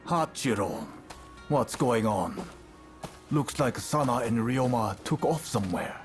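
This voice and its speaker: An actor playing a calm, reserved martial arts master in a video game cutscene, speaking with measured authority. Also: male voice, medium pitch, soft background music, close to an everyday voice. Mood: calm, inquiring.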